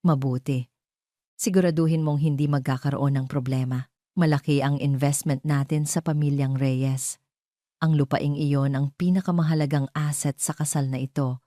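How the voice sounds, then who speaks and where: Lady doing a rich bossy older mom, silky but steely, mellow, dry story audio. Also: low-pitched, steady tone, normal speed, no echo, lightly acted. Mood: silky, controlling. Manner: silky, controlling. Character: silky, steely, controlling older mother, wealthy matriarch.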